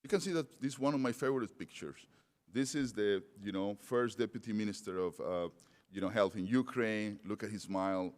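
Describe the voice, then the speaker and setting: Deep-voiced man with a non-native accent. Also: fairly quick. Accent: non-native English. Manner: hesitant, many fillers and restarts. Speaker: conference speaker. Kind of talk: conference presentation. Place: large conference hall. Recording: long hall reverb.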